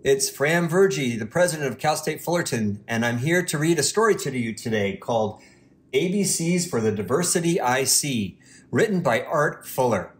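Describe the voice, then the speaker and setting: A man reading a children's storybook aloud with measured, deliberate phrasing. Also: mid-range voice, expressive. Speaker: university president, guest reader.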